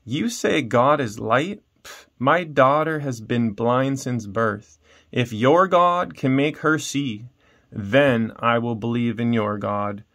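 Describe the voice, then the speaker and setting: A man's voice: mid-range voice, takes it slowly, a bit bright. Storybook Roman chief guard, male, voiced by a man reader: skeptical, blunt, emphatic. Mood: scornful.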